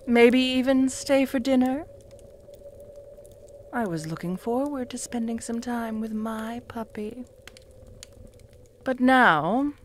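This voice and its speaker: Possessive vampire mistress, voiced by a woman, mocking and cruel, unhurried delivery, mid-pitched, breathy whispers. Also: slight background noise, natural voice. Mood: menacing, hungry. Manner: slow, purring, often dropping to a whisper; taunting, with soft mocking laughs. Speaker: voice actor in audio roleplay. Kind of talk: audio roleplay addressed to the listener.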